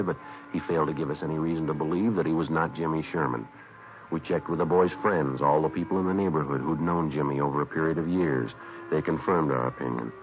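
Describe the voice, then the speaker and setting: An actor playing a businesslike police sergeant, narrating a 1950s radio crime drama in a clipped, understated, matter-of-fact way. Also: male voice, rumbling low. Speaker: detective narrator. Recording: dull vintage radio recording.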